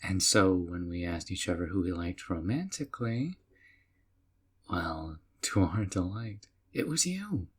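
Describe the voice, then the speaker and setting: A man's voice: low-pitched, animated, unhurried, slightly rough, exaggerated voice. Sammy, a man playing a sweetly creepy male kidnapper. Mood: fond. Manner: soft, coaxing, often whispering. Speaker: voice actor. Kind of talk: audio roleplay.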